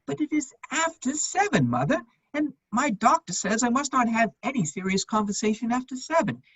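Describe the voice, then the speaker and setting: A woman playing a witty, idle gentleman bachelor in his thirties, arch and drily polite, in a period comedy reading. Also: a little high, sing-song, normal speed, breathy edge. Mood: flippant. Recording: dull, echoey, lo-fi room sound.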